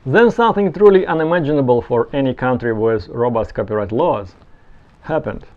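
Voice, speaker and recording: A man's voice: mid-range voice, lively intonation, a little fast. Dull-sounding recording of a man narrating. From Soviet Union. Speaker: video essay presenter. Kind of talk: explanatory narration, semi-scripted.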